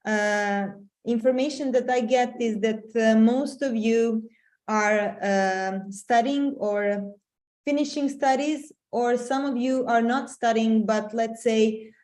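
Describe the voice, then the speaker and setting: Slow, hesitant middle-aged woman mentor. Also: a little high, darkish tone. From Serbia. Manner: slow, hesitant with many fillers. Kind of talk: webinar presentation. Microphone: video call.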